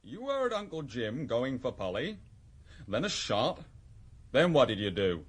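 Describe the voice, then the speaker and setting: An actor playing a calm village constable, measured and official, in a radio drama. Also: male voice, medium pitch, husky. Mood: businesslike. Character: methodical village police constable, male, calmly inquisitive.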